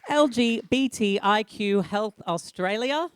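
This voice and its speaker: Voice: mid-range voice, expressive, takes it slowly, clear, resonant tone. A playful, self-mocking host opening a live community forum from a stage. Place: stage in a hall.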